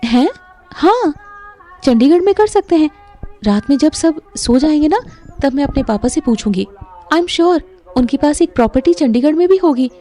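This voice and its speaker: A young woman playing a sweet, trusting unwed mother in an audio drama, speaking softly and earnestly. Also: high voice, animated, moderate pace, faint music behind. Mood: eager, sweet. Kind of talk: Hindi audio drama acting.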